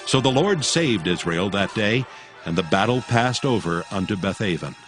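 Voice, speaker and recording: A man narrating a dramatized Bible in a solemn, measured, scripted style. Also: on the low side, lively intonation. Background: music.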